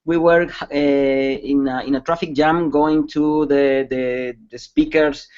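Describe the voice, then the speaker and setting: A man's voice: medium pitch, steady tone, slow, a little mellow. A man from Spain with a Spanish accent, giving a webcast security talk in English, hesitant with many fillers and restarts. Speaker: security conference speaker. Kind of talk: webcast presentation. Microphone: computer mic on a video call. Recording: dull lo-fi webcast audio.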